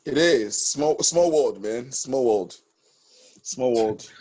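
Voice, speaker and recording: A man's voice: mid-range voice, animated, slow pace, darkish tone. A man on a video call, talking enthusiastically and repeating his phrases. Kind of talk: casual video call chat.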